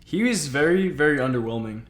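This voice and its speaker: A man's voice: on the high side, expressive, brisk, a bit bright. A man hosting a casual gaming stream chat, talking in a laid-back, informal way and often repeating himself. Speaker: streamer, host.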